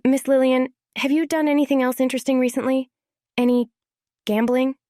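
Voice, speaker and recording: A woman voicing an eager, polite teenage girl in an audio story, earnest and eager to smooth things over. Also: sits high, fairly even intonation, brisk, dark tone, dry recording, clean studio sound, natural voice. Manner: earnest, placating. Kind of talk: scripted audio story character.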